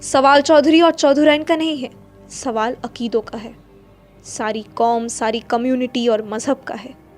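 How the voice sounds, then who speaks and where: High-pitched woman narrating a story. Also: steady tone, a little slow. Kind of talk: dramatic literary story narration. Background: faint music.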